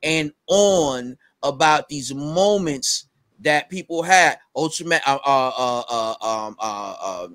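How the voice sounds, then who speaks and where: A middle-aged man with a fairly high-registered voice, talking animatedly on a video call as a guest, full of fillers and quick bursts. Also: dry recording. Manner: animated, recites rap lyrics with bursts of energy, many fillers. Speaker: rapper guest. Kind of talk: casual video-call interview. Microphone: headset on a video call.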